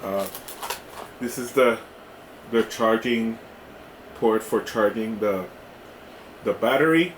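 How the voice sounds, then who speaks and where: A man giving a casual hands-on product demo in a halting way, often checking in with the listener. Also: medium pitch, a bit bright. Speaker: product reviewer. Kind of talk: casual hands-on unboxing demonstration. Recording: roomy echo with clear background noise.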